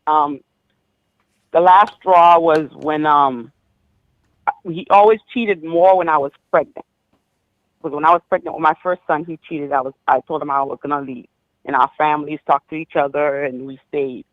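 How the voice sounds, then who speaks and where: A woman of about forty, born in Haiti, calling in to a show and recounting her life in a halting way, full of ums and restarts. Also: low-pitched, fairly even intonation, dry recording. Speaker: caller. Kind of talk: personal story on a call-in show. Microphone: phone. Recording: dull dry phone line.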